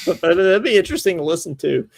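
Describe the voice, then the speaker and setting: A man's voice: sits high, vocal fry, no echo. A man hosting a podcast, chatting casually about sports and thinking aloud in a rambling way. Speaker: podcast host.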